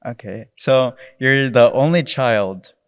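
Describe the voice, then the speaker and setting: A man's voice: medium pitch, slow pace, clean tone, no room sound. A man hosts a podcast, his voice sitting mid-range for men and recorded dry and close. He asks friendly, loose questions padded with fillers. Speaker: podcast host. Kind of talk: casual interview questions.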